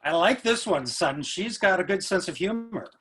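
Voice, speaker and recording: A man's voice: high-pitched, lively intonation, moderate pace, a bit bright, slight background noise. A man acting a genial, chatty middle-aged businessman father in a play reading. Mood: jovial.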